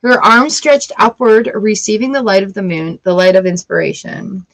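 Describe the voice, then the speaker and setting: A woman's voice: medium pitch, lively intonation, moderate pace, fairly bright tone, poor audio. A woman doing a casual tarot reading, reading card texts aloud and breaking off into playful asides. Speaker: tarot reader.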